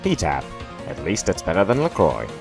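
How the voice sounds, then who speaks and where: A man's voice: low-pitched, huge pitch swings, moderate pace, gravelly, pitched below the performer's own voice, exaggerated voice. A man playing a mock-solemn sponsor announcer in a parody ad read. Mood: mock promotional. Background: guitar music.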